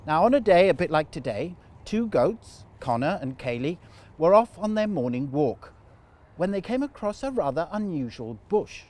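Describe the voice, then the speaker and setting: A man telling a children's story in an animated storytime narration style. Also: on the high side, normal speed.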